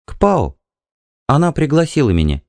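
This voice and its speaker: A man's voice: fairly high pitch, wildly swooping pitch, normal speed, vocal fry, darkish tone, no echo, voice pushed up, exaggerated voice. A man voicing a timid, evasive wife, hesitant and placating, in a dramatised audiobook. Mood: hurried, evasive.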